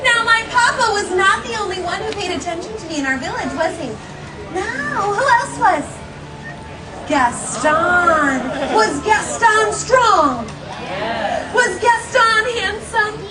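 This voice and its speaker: Gracious young storybook heroine, theatrical, encouraging, live children's storytelling, echoey and distant, noisy crowd. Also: female voice, very high voice, animated, slow, exaggerated voice. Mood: playful, encouraging. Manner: theatrical, gushing, encouraging, sing-song questioning. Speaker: theme park character storyteller. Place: live storytelling show in a park garden. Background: loud music and audience of children.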